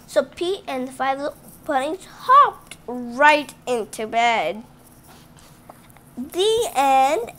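A female host reads a picture book aloud to children, her voice pitched high and swinging widely up and down. She takes her time, trips and restarts on words, and breaks off to talk directly to the kids. It sounds recorded on a phone held over a table at home. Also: very animated, pushed and bright. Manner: halting reading with restarts and chatty asides to the kids. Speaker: read-aloud channel host.

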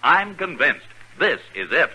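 A man's voice: fairly high pitch, big pitch swings, unhurried, sharp tone, breathy edge, low-quality recording. A man reading a 1950s radio advertisement as a commercial announcer.

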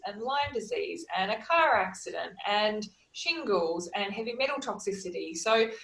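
A woman in her thirties, a holistic wellness practitioner, telling her story in earnest, steady lists on a video call from a small room at home. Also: medium pitch, moderate pace, gravelly, reverberant, rough recording. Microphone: video call, laptop camera.

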